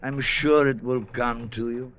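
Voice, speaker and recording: A man's voice: medium pitch, fairly even intonation, unhurried, lightly acted. An actor playing a suave, scheming villain in an old radio drama, speaking with oily, formal courtesy and condescension, savoring his words. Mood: smug, savoring. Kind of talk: 1940s-50s American radio drama acting. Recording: dull, noisy vintage radio recording.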